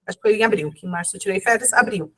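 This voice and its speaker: A woman's voice: mid-range voice, very fast, fairly bright tone. A woman with a cold, speaking casually as an interview guest, rambling with many fillers and self-corrections. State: has a cold.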